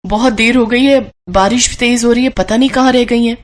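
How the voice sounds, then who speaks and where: A woman's voice: fairly high pitch, steady tone, moderate pace, slight background noise, lightly acted. A woman as harried, caring young housewife. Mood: worried. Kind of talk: Hindi cartoon moral story acting.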